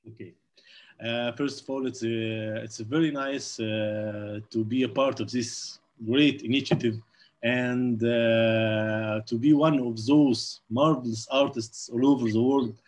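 A man from Egypt with an Egyptian Arabic accent, Arabic his first language, speaking halting English on a video call with constant uh fillers. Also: medium pitch, steady tone, darkish tone. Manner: slow and halting, heavy uh fillers. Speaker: calligrapher, panel guest. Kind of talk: casual online panel talk.

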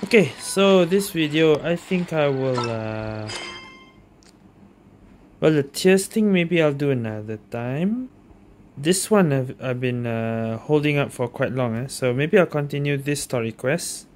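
A man's voice: mid-range voice, expressive, a little slow, a little hiss or hum. A man giving casual commentary as he plays through a video game. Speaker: gaming streamer. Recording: game music behind voice.

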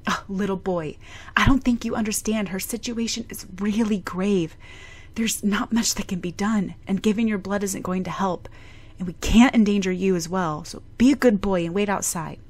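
A woman's voice: medium pitch, moderate pace, darkish tone, breathy edge, lightly acted. A woman voicing a weary hospital doctor who talks in a firm, condescending way.

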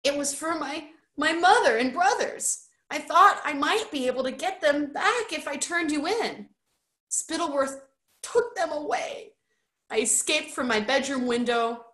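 A woman's voice: high voice, lively intonation, a little slow, sharp tone, roomy sound, pitched above the performer's own voice, exaggerated voice. A woman voicing a frightened young boy in a storybook, tearful and stammering, trying to sound fierce. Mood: miserable.

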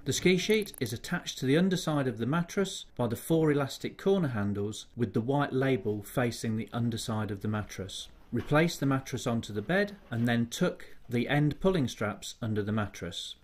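A man reading a scripted voice-over for a healthcare evacuation training video, measured and formal. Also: mid-range voice, lively intonation. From United Kingdom.

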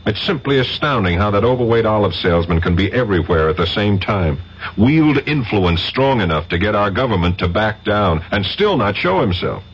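An actor playing a wry, stubborn American federal detective in a radio drama, sardonic and informal. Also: male voice, lowish voice, sing-song, moderate pace. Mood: wry frustration. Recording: muffled, hissy vintage radio recording.

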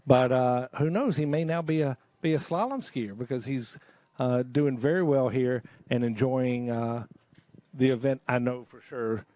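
A man's voice: medium pitch, warm tone, no echo. Man calling water-ski runs live. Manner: enthusiastic play-by-play with filler pauses. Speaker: commentator. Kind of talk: live sports commentary.

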